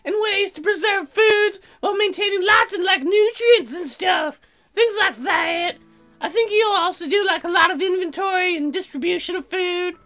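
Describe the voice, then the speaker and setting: A man puts on a bubbly airheaded young woman from a game, pitched very high. The voice is bright and forceful, swooping around as she drags out her words and leans on "like". Light music plays behind. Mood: ditzy, chatty. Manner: airheaded, drawn-out words with many likes.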